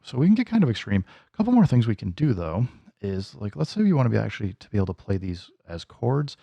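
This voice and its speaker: A man hosts a casual hands-on tutorial in a very low voice with plenty of gravel. His tone is dark and relaxed, and he thinks aloud informally as he demonstrates. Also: fast talker, no echo. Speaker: music gear tutorial host.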